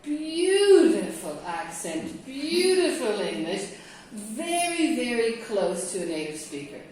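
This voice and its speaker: A woman from California leads a group of teachers in conversation, often pausing to check they follow. Her voice sits a little high. She is picked up from a distance, in a strongly echoing room with a faint hiss. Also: sing-song, a little mellow. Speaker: English teacher trainer. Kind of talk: classroom discussion. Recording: roomy echo, mic from a distance.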